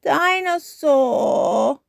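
A woman voicing an excitable toddler boy pig in a children's storybook, speaking in babyish exclamations. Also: high voice, drawn-out words, gravelly, no echo, clean studio sound, pitched above the performer's own voice, full character voice. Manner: babyish single-word exclamations.

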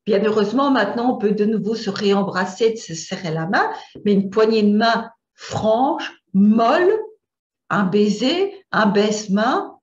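A woman's voice: medium pitch, animated, unhurried. A middle-aged woman from Belgium with a Belgian French accent, teaching an image coaching lesson over slides, often hesitating and repeating words as she searches for them. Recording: roomy, dull lo-fi sound.

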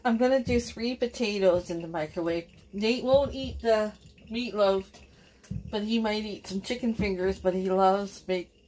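A middle-aged woman with a Canadian accent, chatting to viewers in a relaxed, rambling way while cooking in her home kitchen. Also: mid-range voice, unhurried, slight background noise, rough recording. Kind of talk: chatty kitchen vlog narration. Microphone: camera on counter.

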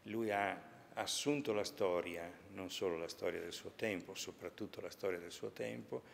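An older man explains things in an interview, speaking in measured, halting short phrases. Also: low voice, steady tone, gravelly. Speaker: exhibition spokesman. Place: large museum sculpture gallery, indoors. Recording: reverberant gallery hall, audible background noise.

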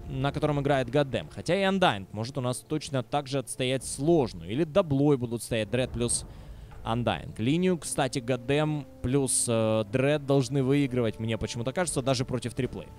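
A man's voice: mid-range voice, normal speed, darkish tone. Wry male esports commentator, noisy background. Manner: wry, sardonic. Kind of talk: live game commentary, casual asides to viewers.

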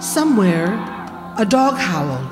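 A middle-aged woman playing a frightened woman in an old-time radio horror drama, dramatic and halting. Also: medium pitch, very animated. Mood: uneasy, hushed. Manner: dramatic, halting, dread-filled. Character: frightened woman recounting an eerie night terror. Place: seated on stage in a community hall. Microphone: boom stand microphone. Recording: echoey hall, loud music behind.

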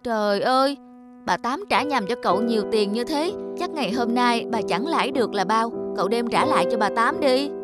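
A chubby cartoon schoolboy acted by a woman talks in a high voice with music behind. He sounds earnest and caring, and tends to admonish like a conscientious friend. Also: lively intonation, brisk, close to an everyday voice. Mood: dismayed. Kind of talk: children's cartoon dialogue.

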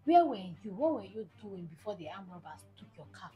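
A woman's voice: mid-range voice, a little fast, husky, faint music behind. Woman playing a cool, mocking wife. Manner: calm, mocking. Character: sly wife who sold her husband's car. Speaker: wife in a comedy skit.

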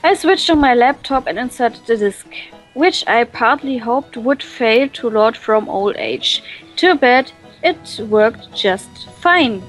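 A woman reading a visual novel aloud and translating it on the fly, halting and full of fillers. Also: a little high, lively intonation, faint music behind, poor audio. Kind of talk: casual let's-play reading.

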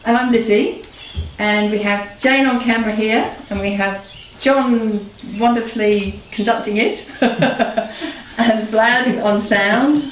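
A woman's voice: fairly high pitch, slow, slight background noise. A woman presenting a casual cooking segment, chatty and upbeat, asking questions. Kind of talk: casual chat. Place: home kitchen, indoors. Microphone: far camera mic. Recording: echoey kitchen from far off. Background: clattering dishes and cutlery.

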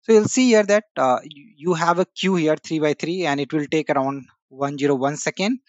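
Filler-heavy man walking through software. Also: fairly high pitch, normal speed, no room sound, dull audio. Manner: casual, frequent "uh" and "you know" fillers. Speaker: tech tutorial host. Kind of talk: screen-share software walkthrough.

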